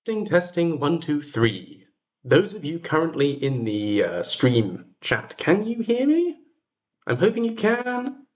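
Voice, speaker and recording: A man hosting a casual livestream, talking to his viewers in a chatty, halting way. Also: on the high side. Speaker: livestream host.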